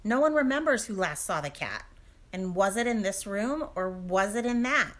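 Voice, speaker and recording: An actress playing a dramatic, lovelorn mistress who speaks in pointed, theatrical rhyme in a comic audio drama. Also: female voice, medium pitch, expressive, normal speed, close to an everyday voice. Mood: wistful, mournful.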